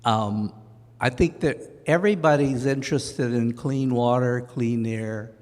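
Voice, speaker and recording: Panelist, male, very slow and halting, long church reverb. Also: mid-range voice, darkish tone. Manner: halting, searching for words. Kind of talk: panel discussion. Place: church sanctuary.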